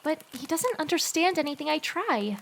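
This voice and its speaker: A woman performs a sensitive schoolgirl in love in an amateur audio drama. The voice is very high, girlish and earnest, with a wistful way of talking. The home recording is slightly noisy with clicks. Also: sing-song, normal speed, gravelly, heavily acted. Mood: wistful, frustrated. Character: lovelorn, sensitive teenage schoolgirl. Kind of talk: fan audio drama acting.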